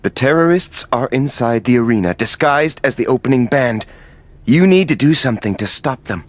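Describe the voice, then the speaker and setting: An actor playing an eccentric, unflappable film director with a pretentious, earnest artistic way of talking in a game cutscene. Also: male voice, mid-range voice, lively intonation, normal speed, natural voice. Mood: earnest, calm. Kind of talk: comedic video game cutscene acting.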